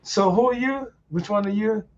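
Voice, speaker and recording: A man's voice: high-pitched, normal speed, a little mellow, muffled recording. A man hosting a casual online voice chat, slangy and loose, swearing often and laughing a lot. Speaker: host. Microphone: online call mic.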